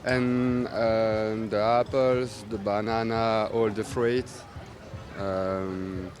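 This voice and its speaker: A man's voice: lowish voice, flat delivery, drawn-out words, a little hiss or hum. A male market stallholder who is not a native English speaker, searching for his words outdoors.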